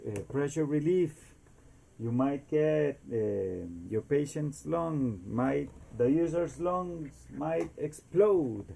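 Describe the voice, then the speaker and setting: A man's voice: mid-range voice, gravelly, mellow, slight background noise. A man from Costa Rica with a Costa Rican Spanish accent gives an informal, homemade explainer in non-native English, often searching for words and slipping in Spanish fillers. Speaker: amateur inventor demonstrating a homemade device.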